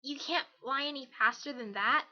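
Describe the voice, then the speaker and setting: A woman voicing a brash, confident young female pegasus pony in a fan audio drama, cocky, casual and energetic, on a slightly dull microphone. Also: sits high, moderate pace, natural voice. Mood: impatient.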